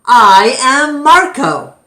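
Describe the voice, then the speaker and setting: A woman puts on a proud townsman from a children's storybook. He declaims his gift loudly and theatrically, stretching the words out in an echoey room. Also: high voice, very animated, extremely slow, pitched above the performer's own voice, exaggerated voice. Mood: proud, declaiming.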